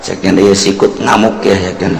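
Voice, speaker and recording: A man's voice: sits low, flat delivery, normal speed, creaky. An older man with a Sundanese accent, a preacher telling a humorous story in a sermon, playful and chuckling. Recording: echoey hall, noisy live recording.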